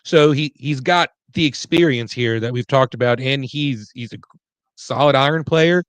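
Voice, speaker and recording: A man chatting casually as a sports show panelist, doubling back on his words in a halting way. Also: medium pitch, a bit bright, dry recording. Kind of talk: casual chat.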